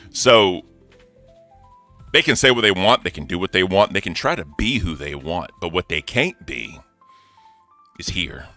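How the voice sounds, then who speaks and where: Deep-voiced man ranting on a livestream. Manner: animated, defiant rant with swearing. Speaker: co-host. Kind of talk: casual livestream chat.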